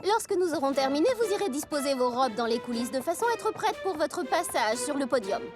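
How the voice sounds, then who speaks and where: Imperious cartoon hostess pony: high, condescending, lively intonation over clear background music. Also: female voice, brisk. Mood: stern, condescending. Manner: imperious, condescending, clipped and formal. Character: haughty, exacting female pony fashion show hostess. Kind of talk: French animated cartoon dub acting.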